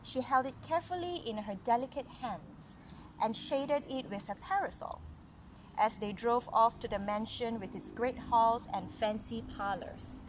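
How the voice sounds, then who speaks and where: A woman reading a picture book aloud to a young child, with gentle, encouraging, chatty asides to explain the pictures. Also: fairly high pitch, unhurried. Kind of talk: casual read-aloud storytelling with chatty asides. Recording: noisy recording with vehicle-like background hum.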